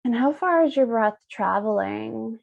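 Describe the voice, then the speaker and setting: A woman leading a guided meditation as a Reiki practitioner, speaking soothingly in short, unhurried phrases with pauses. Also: on the high side, expressive, creaky, no echo, phone-quality sound.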